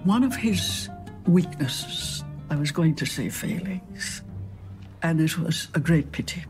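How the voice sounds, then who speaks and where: A documentary interviewee reflects very slowly and deliberately, returning to phrases for emphasis. Plucked guitar music is clearly heard behind the voice. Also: medium pitch, lively intonation. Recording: music under the voice.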